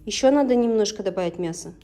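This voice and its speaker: A woman's voice: mid-range voice, lively intonation, quick pace, dark tone. A woman in her thirties from Tatarstan whose first language is Tatar, chatting casually in a home vlog while cooking and guiding her children. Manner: chatty and homely, often breaks off to instruct her children. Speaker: vlogger and mother. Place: home kitchen.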